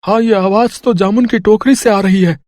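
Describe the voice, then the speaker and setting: Man as an earnest high-voiced village seller. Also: steady tone, moderate pace, darkish tone, no echo, clean studio sound, lightly acted. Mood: amazed. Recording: music under the voice.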